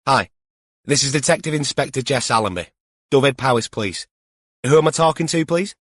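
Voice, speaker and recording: A man voicing a female detective inspector with a calm, polite, official manner in an audiobook. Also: medium pitch, a little fast, no echo, studio quality, pitched above the performer's own voice.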